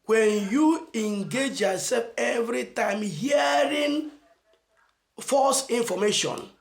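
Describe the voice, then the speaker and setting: A Nigerian man giving an emphatic motivational message as a channel host, asking rhetorical questions and stressing phrases slowly. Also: very high-pitched, echoey room. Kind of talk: motivational preaching to camera.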